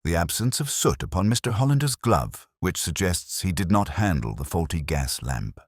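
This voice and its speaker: Storybook detective, played by a male narrator: incisive, cool, formal, very low voice, lively inflection. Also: no echo, clean studio sound. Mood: cool, probing. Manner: formal, deliberate, exacting questioning. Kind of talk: audiobook character reading.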